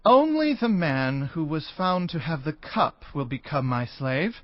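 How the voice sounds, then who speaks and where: Commanding ancient ruler, stern, formal, scripture drama, dry, slightly muffled recording. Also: male voice, fairly high pitch, sing-song, unhurried, dry recording. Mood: firm judgment. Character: Joseph, commanding male governor of high rank. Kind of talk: dramatized scripture audio acting.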